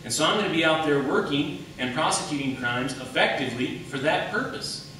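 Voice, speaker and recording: Echoey, bright-voiced man debating. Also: fairly high pitch, expressive, fairly quick. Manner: emphatic, rhetorical repetition and questions. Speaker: candidate for county attorney. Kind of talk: political debate speech. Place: large echoey room at a public debate. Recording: echoey room, distant mic, faint noise.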